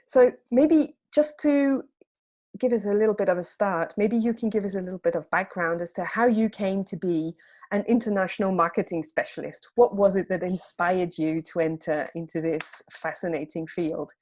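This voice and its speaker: Measured woman introducing a guest. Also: fairly low pitch, darkish tone. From United Kingdom. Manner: measured, polite, reads her introduction. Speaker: podcast interviewer. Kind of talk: formal guest introduction and questions.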